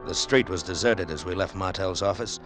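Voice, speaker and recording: A man's voice: low voice, fairly quick, over loud music, natural voice. An actor playing a cool, commanding male adventurer who also narrates, in dry, wry 1940s radio drama style. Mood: wry, reflective. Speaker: lead character and narrator.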